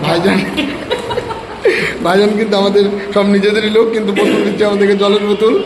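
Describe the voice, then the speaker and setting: A man's voice: high voice, level tone, quick pace. A man hosting a casual vlog, introducing his friends to viewers and teasing them with questions. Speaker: vlogger and host. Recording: busy crowd and street noise.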